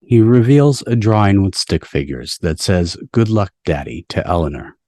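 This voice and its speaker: A man with a deep voice reads scene directions from a script. The sound is dry, with little room in it, like a home video call. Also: lively intonation, normal speed, a little mellow, no room sound. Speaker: stage directions reader. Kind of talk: scripted narration at a table read. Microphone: video call microphone.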